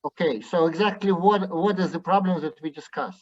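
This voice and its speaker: A man speaking on a roundtable panel over a video call, deliberate and sardonic, repeating his points to drive them home. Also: a little high. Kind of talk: roundtable discussion. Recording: muffled video call audio.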